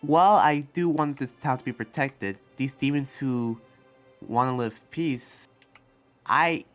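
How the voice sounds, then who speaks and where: A man playing a kind, idealistic young demon slayer and researcher in an improvised roleplay, speaking earnestly and haltingly. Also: medium pitch, a bit bright, soft background music, close to an everyday voice. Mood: worried, sincere. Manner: hesitant, earnest, halting with restarts.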